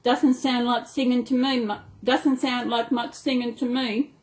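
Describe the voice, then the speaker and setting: A woman's voice: a little high, little pitch movement, measured pace, a little mellow, lightly acted. A woman voicing a complaining elderly lady flower in a children's storybook. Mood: grumbling. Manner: complaining, grumbly.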